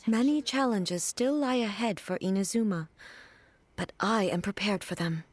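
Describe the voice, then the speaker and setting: A woman voicing a composed, regal goddess ruler in a video game, speaking in a formal, measured, dignified way. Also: medium pitch, dry recording, natural voice. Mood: calm regal.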